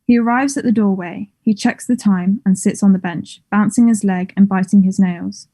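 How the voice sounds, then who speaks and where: A woman's voice: medium pitch, fairly even intonation, moderate pace, warm tone. A woman reading stage directions as scripted narration at a table read of a play.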